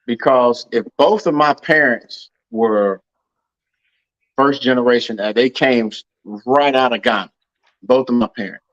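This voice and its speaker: A man's voice: medium pitch, a little slow, dry recording, lo-fi sound. A man hosting a casual discussion over a call, earnest and often repeating words as he thinks. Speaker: podcast host.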